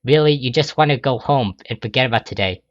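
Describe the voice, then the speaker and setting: A man voicing a gloomy, withdrawn young stallion pony who gives flat, terse replies. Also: medium pitch, fast talker, no room sound, dull audio, natural voice. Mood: bitter.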